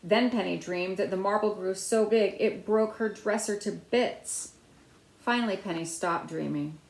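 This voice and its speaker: A middle-aged woman reading a children's picture book aloud with deliberate phrasing. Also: medium pitch, gravelly, darkish tone. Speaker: storybook reader. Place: small room at home by a window, indoors. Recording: roomy, echoey indoor sound.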